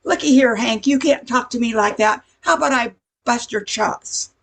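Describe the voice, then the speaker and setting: A woman's voice: fairly high pitch, moderate pace, a bit bright. A woman playing a brash, touchy diner waitress in a radio comedy play, tough-talking with slangy grammar. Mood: brash, indignant.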